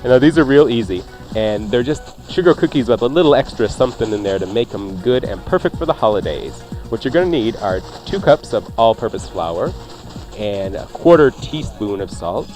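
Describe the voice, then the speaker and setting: A man's voice: mid-range voice, lively intonation, normal speed, a little mellow. Chatty male cooking host over faint music. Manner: chatty, jokey, conversational. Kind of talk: casual radio cooking instruction with banter.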